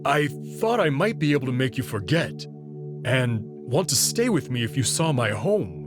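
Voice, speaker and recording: A man's voice: medium pitch, big pitch swings, normal speed, lightly acted. A man voicing a proud but guarded young male wolf alien prince in a visual novel, explaining himself earnestly and reluctantly. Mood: reluctant, guilty. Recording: clear music behind the voice.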